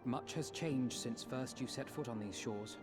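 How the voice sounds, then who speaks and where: A man's voice: medium pitch, a little slow, over music, close to an everyday voice. Man acting an earnest, courteous young nobleman. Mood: warm, reassuring. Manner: formal, polite, old-fashioned phrasing. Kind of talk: fantasy video game voice acting.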